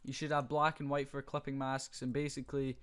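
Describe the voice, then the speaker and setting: A young man with a slightly hoarse voice, casually talking through a screen-recorded graphics tutorial with many fillers. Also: medium pitch, little pitch movement, a little fast. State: slightly hoarse, losing his voice.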